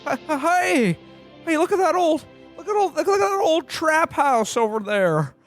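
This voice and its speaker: A man's voice: extremely high pitch, big pitch swings, a bit bright, no echo, faint music behind, exaggerated voice. A man playing a naive, bashful podcaster who stammers in a parody of old film acting. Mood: bashful, eager. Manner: stammering, halting. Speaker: podcast host playing himself. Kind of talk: parody of 1940s film acting in a radio play.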